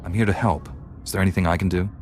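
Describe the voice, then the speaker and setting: An actor playing a calm, resolute space knight hero in a video game, speaking in a measured, earnest way. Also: male voice, sits low, soft background music, close to an everyday voice. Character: calm, resolute heroic Jedi knight, adult male. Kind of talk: video game character acting.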